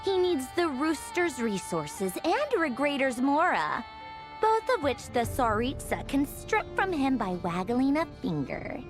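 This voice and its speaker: A woman's voice: high-pitched, very animated, unhurried, over music. A woman playing a proud young female scientist villain in an anime game, haughty and theatrical. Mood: disdainful.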